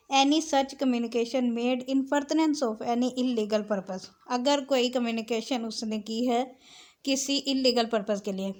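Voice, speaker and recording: A woman with a fairly high voice delivers a lecture. She reads passages out deliberately, then explains them step by step. She moves back and forth between Urdu-Hindi and English as she teaches. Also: a little mellow. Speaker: law lecturer.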